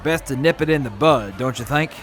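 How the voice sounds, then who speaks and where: An actor playing a weary, measured male militia major in an audio drama. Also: mid-range voice, a bit bright, some chatter behind, natural voice. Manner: measured, rueful.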